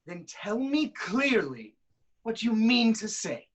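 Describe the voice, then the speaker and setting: A woman's voice: fairly high pitch, very animated, slow, full character voice. A woman playing a proud, suspicious king in a staged reading of Greek tragedy, with stern declamatory delivery. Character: proud, suspicious, hot-tempered male king of Thebes.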